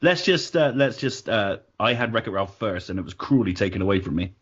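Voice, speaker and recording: A man with a fairly low voice hosts in a casual, blunt way, swearing and joking with the panel. The sound is a touch dull and lo-fi. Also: lively intonation, fairly quick, a little hiss or hum. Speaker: podcast host. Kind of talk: casual banter.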